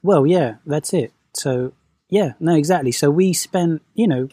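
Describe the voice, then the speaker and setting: Soft-spoken husky man. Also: on the high side, measured pace, dry recording, clean studio sound. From Venezuela, raised in London. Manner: soft-spoken, hesitant, reflective. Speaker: guest, movement coach. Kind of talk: podcast conversation.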